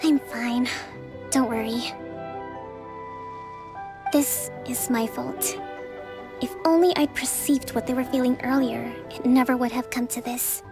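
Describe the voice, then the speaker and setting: An actress playing a gentle, composed young female leader in a video game, with measured, formal, articulate speech. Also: on the high side, steady tone. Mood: calm, self-blaming. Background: faint game background music.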